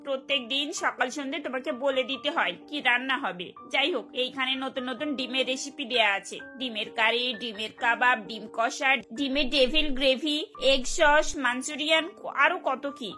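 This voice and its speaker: A woman's voice: high voice, normal speed, pushed and bright, faint music behind. A woman voicing a bossy, demanding middle-aged mother-in-law in a cartoon, with a scolding, domineering manner. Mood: nagging, instructing. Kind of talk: Bengali cartoon voice acting.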